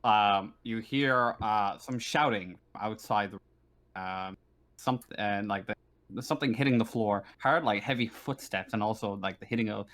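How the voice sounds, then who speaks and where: A man narrating a tabletop roleplaying game as game master, improvising haltingly as he searches for words. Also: on the low side, a bit bright.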